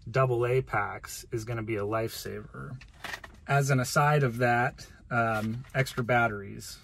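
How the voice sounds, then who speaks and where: A man's voice: mid-range voice, slight background noise. A man in his thirties giving a casual, plain-spoken hands-on gear walkthrough from inside a parked vehicle. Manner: plain-spoken, informal explaining. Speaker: video host showing gear. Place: inside a parked van cab.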